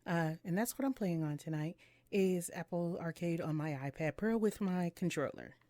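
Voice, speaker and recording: A woman's voice: fairly low pitch, expressive, normal speed, mellow, no echo. A woman giving casual let's-play commentary and reading game text aloud in her own voice, with music behind her. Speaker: game streamer reading visual novel dialogue aloud.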